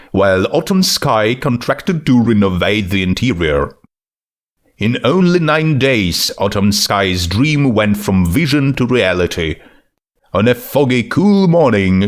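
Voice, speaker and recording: Slow, expressive male story reader. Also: medium pitch. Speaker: fan fiction reader. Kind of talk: scripted story narration.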